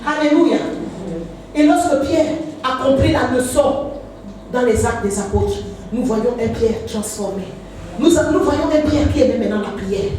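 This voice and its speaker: Fervent high-voiced woman pastor, echoey church. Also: animated, unhurried, mellow, slight background noise. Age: 50s. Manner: fervent, frequent hallelujahs, sing-song rises. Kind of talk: preaching a sermon. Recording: echoey church hall, voice from far off.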